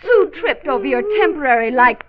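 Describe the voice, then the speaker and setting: Young radio-drama wife and mother, worried and exasperated, high and very expressive. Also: fairly quick. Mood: distraught, scolding. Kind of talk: 1940s radio drama acting. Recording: muffled, noisy 1940s radio transcription recording.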